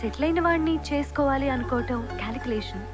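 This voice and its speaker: Self-assured young woman, film rom-com; high, composed voice, music clearly behind. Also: animated, quick pace. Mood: frank, composed. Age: young adult. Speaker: actress in a film. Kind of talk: naturalistic romantic comedy film dialogue.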